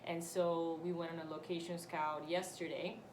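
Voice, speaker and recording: A woman's voice: fairly low pitch, flat delivery, normal speed. A woman from Italy speaking English with an Italian accent, chatting animatedly as an interview guest, with frequent restarts and easy laughter. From Italy (Vicenza, Veneto). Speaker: guest, actor and film producer. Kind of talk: casual interview chat. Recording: echoey room with noticeable background noise.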